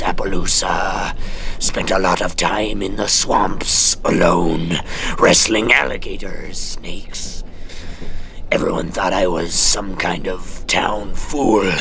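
A hulking crocodile villain in a superhero audio drama. The voice is very deep and gravelly, drawn out in slow, boastful threats. Music runs behind him. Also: male voice, fairly bright tone, full character voice. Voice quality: growly, gravelly. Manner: slow, menacing bragging. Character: hulking male crocodile-man thug, vicious and boastful. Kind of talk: comic-book radio drama acting.

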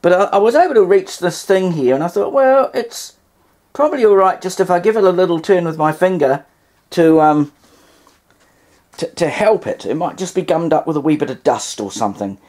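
A man talks through a hobby demonstration indoors. His voice sits a little high for a man. He is casual and unscripted, pausing and wandering into wry asides. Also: expressive, a little mellow. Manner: hesitant, rambling, wry asides. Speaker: vintage radio hobbyist demonstrating.

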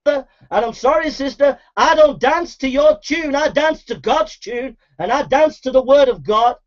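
A high-pitched man preaching with fierce urgency, repeating his lines again and again as he calls listeners to repent. His voice comes through a dull, muffled recording. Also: unhurried. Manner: exhorting, repetitive, mocking mimicry of flattery. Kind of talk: fiery sermon.

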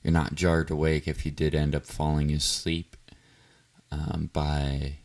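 A man with a naturally low voice, speaking gently and reassuringly in a soft-spoken outro to his listener. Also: level tone, measured pace, vocal fry, no echo. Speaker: audio roleplay voice actor.

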